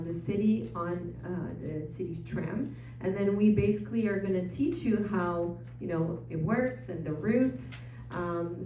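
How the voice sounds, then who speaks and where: A woman's voice: mid-range voice, warm tone, a little hiss or hum. A woman with a Brazilian Portuguese accent, Portuguese as her first language, giving a casual travel presentation to a live audience with frequent ums and uhs. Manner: chatty, frequent ums and uhs, self-deprecating. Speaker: travel presenter at an info session. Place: meeting room with an audience. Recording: echoey room from far off, dull sound.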